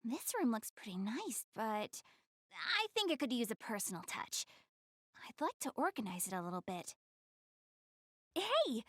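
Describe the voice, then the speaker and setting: A girlish anime game goddess with a high, lively voice. She is prim and proud, yet shy and quick to get flustered, stammering as she backtracks. The sound is close and dry. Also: no echo, full character voice. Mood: relieved, friendly. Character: young goddess girl, proud but easily flustered, tidy, shy. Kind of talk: anime game English dub.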